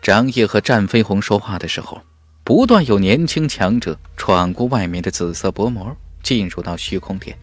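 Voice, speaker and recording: A man narrates a fantasy novel dramatically. His voice sits low and moves widely in pitch, recorded dry over faint music. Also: lively intonation, moderate pace, no echo. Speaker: audiobook narrator.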